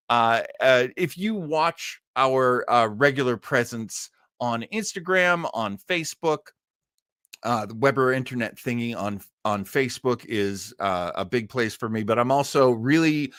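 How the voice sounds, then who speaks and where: American guy livestream host, rambly and gushy chat, pitch bounces all over, dry sound. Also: sharp tone, dry recording. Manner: chatty, rambling, effusive. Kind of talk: casual livestream chat with viewers.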